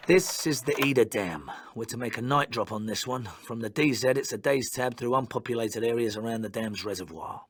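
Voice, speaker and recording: Male actor as British army officer, war game briefing, mid-pitched, authoritative, clipped, brisk, noisy audio. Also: lightly acted. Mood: firm, businesslike. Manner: commanding, clipped, matter-of-fact.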